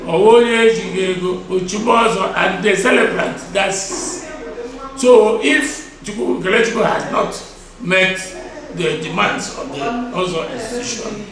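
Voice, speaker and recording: An older man around seventy with a Nigerian Igbo accent and a high-pitched voice. He gives interview answers deliberately and emphatically, often repeating a phrase. The recording is very echoey, with a crowd behind him. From Nigeria (Igboland). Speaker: traditional title chief being interviewed. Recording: echoey, distant mic, crowd noise behind.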